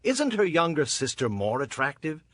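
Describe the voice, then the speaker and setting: A man's voice: on the high side, huge pitch swings, normal speed, fairly bright tone, no room sound, studio quality. A man playing a self-justifying father-in-law from ancient times in a dramatized audiobook, pleading his case. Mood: defensive.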